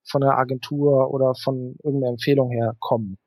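Male interview guest, author type, medium pitch, close dry sound, conversational delivery. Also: little pitch movement, fairly quick, a little mellow, no echo.

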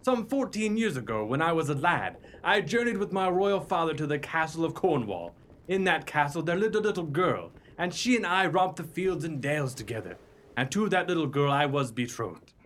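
A young king in a radio pirate spoof. His voice sits fairly high and swings freely as he declaims with courtly ardor and formal grandeur. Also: very animated, normal speed, a bit bright. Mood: ardent wooing. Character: noble young king revealed from disguise, courtly and ardent. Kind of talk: theatrical radio pirate comedy acting.

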